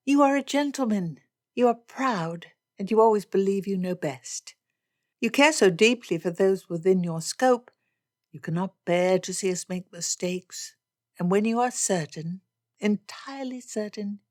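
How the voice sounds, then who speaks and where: A woman voicing a spirited, stubborn young gentlewoman in a period romance audiobook, speaking in emphatic, impassioned formal phrases. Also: mid-range voice, no echo, studio quality, lightly acted. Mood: impassioned, accusing.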